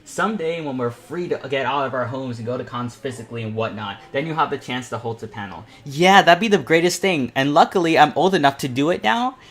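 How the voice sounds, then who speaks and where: A man's voice: mid-range voice, lively intonation, brisk, few pauses, a bit bright. Eighteen-year-old guy chatting through a painting tutorial. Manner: informal, rambling, thinking aloud while painting. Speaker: self-taught artist hosting a painting panel. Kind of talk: casual live painting tutorial chat.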